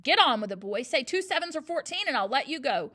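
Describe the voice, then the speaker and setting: A woman's voice: a little high, lively intonation, fairly quick, natural voice. A woman voicing a huge bullying headmistress who barks and bellows with sarcasm. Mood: bellowing. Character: huge bullying female headmistress, furious and brutal.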